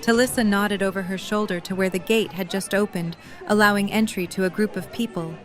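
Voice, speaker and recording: A woman's voice: mid-range voice, fairly even intonation, brisk. Woman narrating an audio drama, faint music. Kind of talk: scripted story narration.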